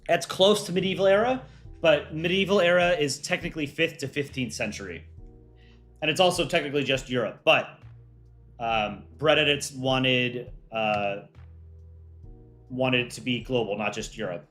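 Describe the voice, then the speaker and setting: A man's voice: medium pitch, moderate pace, a bit bright, soft background music. A man hosting an art contest on a livestream, reading out viewer chat and answering it offhand in casual talk.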